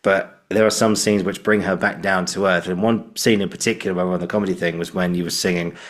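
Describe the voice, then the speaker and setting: A man hosting a casual interview, feeling his way haltingly through long, roundabout questions. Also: low voice, steady tone. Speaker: podcast host.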